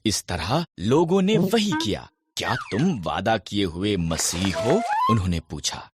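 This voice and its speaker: A narrator telling scripted Bible stories for children in a deliberate storytelling delivery. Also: sits low, sing-song, very noisy. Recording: background music under the narration. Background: music.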